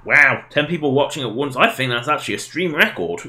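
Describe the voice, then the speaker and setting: A man's voice: mid-range voice, animated, brisk, pushed and bright. A man doing casual live game commentary, rambling and self-deprecating as he chats to his viewers. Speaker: game livestreamer.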